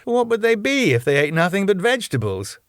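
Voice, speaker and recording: A man's voice: high-pitched, huge pitch swings, a little fast, no room sound, clean studio sound, voice pushed up. A man voicing a cheerful, domineering middle-aged wife in a raised voice. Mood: breezy. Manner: sweet but domineering.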